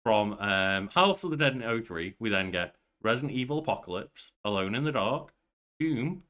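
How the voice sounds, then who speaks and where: A man's voice: on the low side, expressive, normal speed, fairly bright tone. A young man co-hosting a podcast, chatting casually from a room on a video call. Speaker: podcast co-host. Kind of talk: casual movie chat.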